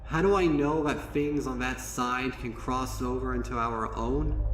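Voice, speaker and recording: A man voicing an anguished, horrified pony in a horror story reading, with a dramatic, halting, haunted delivery. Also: fairly high pitch, fairly bright tone, far from the mic, voice pushed up. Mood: frantic, distraught. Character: anguished, horrified pony recounting burning a possessed friend. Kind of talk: first-person horror monologue in fan fiction reading. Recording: echoey voice with music underneath. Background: music.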